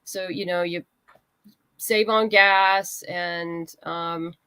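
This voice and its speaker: A woman's voice: lowish voice, fairly even intonation, very slow, bright, forceful tone, clear, resonant tone, no echo. A woman chatting casually as an interview guest, giving practical advice in a conversational way. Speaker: guest writer and comics creator.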